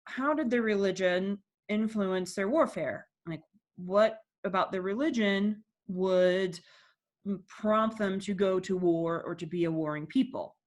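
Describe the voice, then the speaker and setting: A woman's voice: mid-range voice, unhurried. A woman teacher reading a textbook section aloud and stopping to explain terms and put questions to her class.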